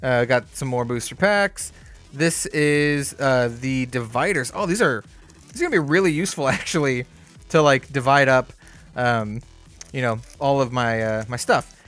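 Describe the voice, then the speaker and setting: A man hosting a card game channel, chatting casually through an unboxing, easygoing and upbeat with lots of fillers, his voice a bit hoarse. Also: mid-range voice, normal speed, a bit bright, soft background music. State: slightly hoarse.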